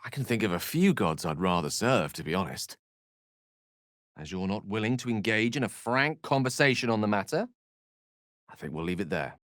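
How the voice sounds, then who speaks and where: An actor with a British accent voicing a learned, proud, romantic wizard in a video game, with eloquent, slightly pompous phrasing. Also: male voice, fairly low pitch, very animated, normal speed, fairly bright tone, no echo, clean studio sound. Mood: measured, dry. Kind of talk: video game character voice lines.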